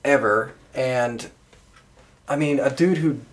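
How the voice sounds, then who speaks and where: A man's voice: medium pitch, slow. A man co-hosting a podcast, talking in a casual, conversational way. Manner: informal, conversational. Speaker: podcast co-host. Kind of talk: casual chat.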